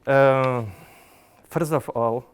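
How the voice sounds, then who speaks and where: A man's voice: medium pitch, steady tone, extremely slow, clear, resonant tone. A Slovak man, a product manager, giving a conference presentation in careful non-native phrasing full of fillers and restarts.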